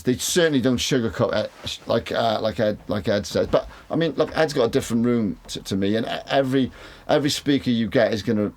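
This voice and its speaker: Low-voiced rambling man. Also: a little mellow. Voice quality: big voice. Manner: rambling, repeats words, swears casually. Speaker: mixing engineer reviewing studio monitors. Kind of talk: casual talk to camera. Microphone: studio condenser mic.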